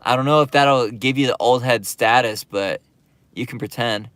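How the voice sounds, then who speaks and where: A laid-back man hosts a skateboarding livestream, reading viewer comments aloud and riffing on them with plenty of ums and likes. His voice sits at an ordinary middle pitch. The sound is dry and close, with no room echo. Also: moderate pace, a bit bright. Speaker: YouTube skate channel host reading live chat. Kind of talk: casual livestream chat.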